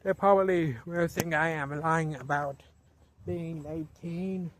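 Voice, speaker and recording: An eighteen-year-old man vlogging outdoors at night, talking to a handheld camera, slow and repetitive, often restarting his sentences. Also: fairly high pitch, fairly even intonation, slight background noise. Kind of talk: casual vlog narration.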